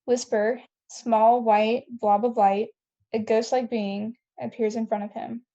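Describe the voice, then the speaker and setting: A woman's voice: a little high, little pitch movement, slow, warm tone. A woman reading scene headings and stage directions as narrator of a table read, on a dull lo-fi recording.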